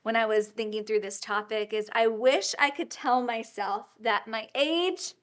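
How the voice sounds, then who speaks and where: A woman in her thirties hosting a casual faith-based chat, upbeat and quick to laugh. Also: on the high side. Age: 30s. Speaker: podcast host.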